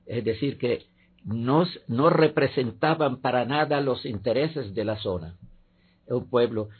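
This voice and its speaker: A man, a guest professor, giving measured political commentary in a debate, pausing often with fillers. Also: mid-range voice, breathy edge. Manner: measured, halting with many fillers. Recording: tinny phone-like line.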